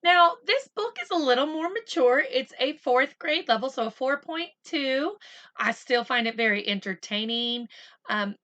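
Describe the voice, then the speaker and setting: A woman reading a picture book aloud like a teacher, slow and expressive in the story, chattier when she stops to explain words simply for children. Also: high voice, lo-fi sound. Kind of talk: read-aloud storytelling with casual explanatory asides.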